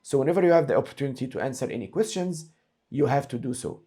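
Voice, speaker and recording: A man narrating a screen-share software tutorial as an instructor, explaining each step methodically and repeating key points. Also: medium pitch, fast, slightly rough.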